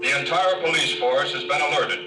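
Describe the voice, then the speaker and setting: A man's voice: fairly high pitch, brisk, lightly acted. Man acting an urgent crime newscaster, distant and echoing. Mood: urgent, alarmed. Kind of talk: 1940s radio news bulletin announcing. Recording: roomy, distant mic, music behind.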